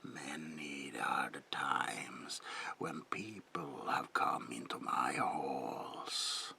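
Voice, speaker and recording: Creepy whispering old vampire, very deep. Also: male voice, pushed and bright, a little hiss or hum, full character voice. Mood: ominous, musing. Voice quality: breathy gravelly whisper. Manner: slow, theatrical, lingering whisper with archaic politeness. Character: creepy old male vampire baron, courteous, eerie, menacing. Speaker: ASMR roleplay performer. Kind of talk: whispered ASMR horror roleplay.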